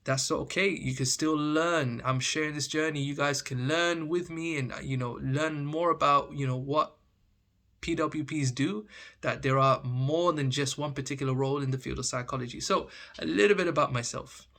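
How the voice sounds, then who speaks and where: A man's voice: on the high side, moderate pace, pushed and bright. Chatty British-accented man. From Oman. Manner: chatty, many fillers and restarts. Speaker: vlogger. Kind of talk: casual talking-to-camera vlog.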